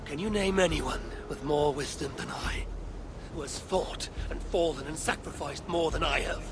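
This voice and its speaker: An actor playing a proud, stubborn old Norse god-king in a video game, gravelly and theatrical in his grand declaiming. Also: a little high, normal speed, noisy background. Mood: weary, stubborn. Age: older. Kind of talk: dramatic game cutscene acting.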